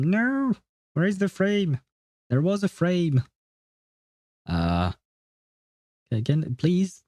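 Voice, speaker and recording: A man's voice: a little high, warm tone, no room sound. A man livestreaming casual reaction commentary on a game, thinking aloud in informal language.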